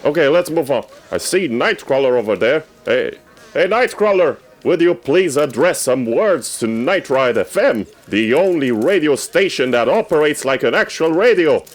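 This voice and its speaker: Man playing an eager, theatrical radio correspondent, swinging pitch. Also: animated, moderate pace, soft background music, lightly acted. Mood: snubbed. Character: eager, easily snubbed male radio correspondent on the red carpet. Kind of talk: scripted comedic red carpet reporting.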